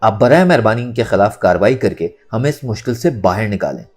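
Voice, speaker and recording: A man's voice: lowish voice, expressive, quick pace, a little mellow. A man voicing a resolute young woman. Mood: confident, firm. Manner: firm, composed, formal pleading. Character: confident, resolute young woman accusing her grandfather.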